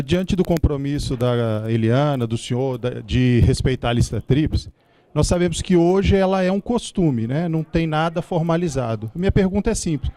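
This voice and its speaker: A man's voice: a little high, dark tone, slight background noise. A Brazilian man putting a formal question at a public forum. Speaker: audience member asking a question.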